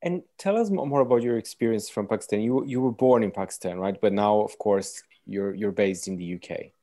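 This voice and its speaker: Mellow-voiced enthusiastic interviewer. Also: mid-range voice. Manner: enthusiastic, halting phrasing. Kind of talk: interview questions.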